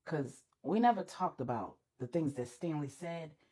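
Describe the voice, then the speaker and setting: Woman as apologetic boyfriend, caring, hesitant, insecure, husky, audiobook drama. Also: sits low, lively intonation, normal speed, warm tone, voice pushed down. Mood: hurt, unsure. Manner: hesitant, questioning.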